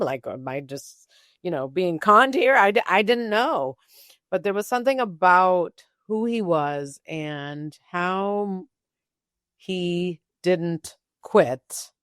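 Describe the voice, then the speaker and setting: A woman's voice: on the low side, no room sound, studio quality. A woman hosting a casual interview, talking informally and thinking aloud as she goes. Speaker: interview show host.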